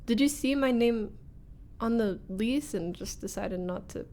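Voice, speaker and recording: A woman's voice: fairly high pitch, measured pace, dark tone. A woman voicing a flustered young former bully in a scripted roleplay audio, hesitant and wry. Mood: flustered, disbelieving. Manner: hesitant, sighing, nervous laughs, wry muttering.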